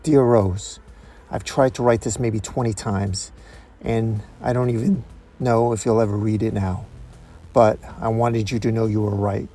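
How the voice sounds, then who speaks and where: A man voicing an aging, vengeful male assassin in a comic-book reading, gravelly, husky, brooding and anguished. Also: fairly low pitch, fairly even intonation, unhurried, dark tone. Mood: brooding grief.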